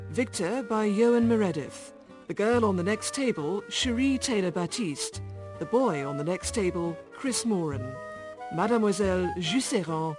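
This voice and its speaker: Formal woman announcer over music. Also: mid-range voice. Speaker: radio announcer. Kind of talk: scripted closing credits. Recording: music under the voice.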